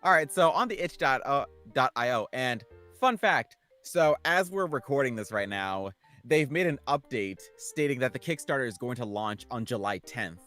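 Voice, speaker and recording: A man's voice: mid-range voice, animated, normal speed, bright, forceful tone, faint music behind. A man chatting casually as a guest co-host on a livestream.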